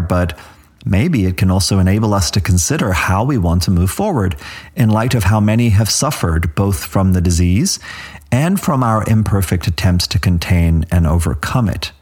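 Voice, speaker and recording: A man hosting a podcast, delivering scripted commentary in a measured, articulate and slightly wry way. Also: sits low, a little mellow. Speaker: podcast host.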